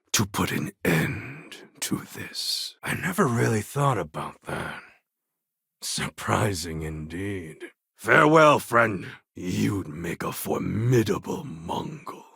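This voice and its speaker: A man playing a proud, fierce warlord in a video game, speaking in a grandiose, commanding way with a husky, gravelly voice. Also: lowish voice, very animated, takes it slowly, sharp tone, no echo, clean studio sound, full character voice. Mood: solemn, resolute. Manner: grandiose, commanding, formal phrasing. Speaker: video game character voice actor. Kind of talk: dramatic video game character dialogue.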